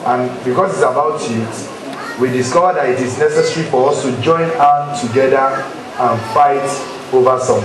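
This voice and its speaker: Nigerian man exhorting in a very echoey hall. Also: medium pitch. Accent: Nigerian Yoruba. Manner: rhetorical questions to listeners, repetitive emphasis. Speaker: church youth leader. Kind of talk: exhortation to a congregation, leading a pledge. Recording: very echoey hall with crowd noise. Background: congregation murmur.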